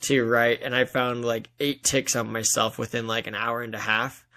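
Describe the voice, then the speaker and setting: A man's voice: medium pitch, flat delivery, moderate pace, pushed and bright. A man from Colorado with an American accent, talking in a chatty, anecdotal way as a guest in a casual interview. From Denver area, Colorado, US. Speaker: interview guest, fiction author.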